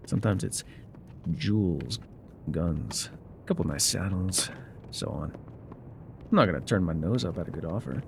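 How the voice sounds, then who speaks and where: A man playing a laconic, weathered frontier guide in a calm, haunted, musing ASMR roleplay, with a low gravelly voice and folksy pauses. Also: slight background noise. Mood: matter-of-fact. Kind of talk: immersive ASMR roleplay monologue.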